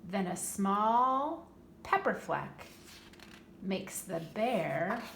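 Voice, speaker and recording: A woman reading a picture book aloud to young children like a preschool teacher, with deliberate, dramatic storybook emphasis. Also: medium pitch, lively intonation, a bit bright. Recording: roomy echo, light background noise.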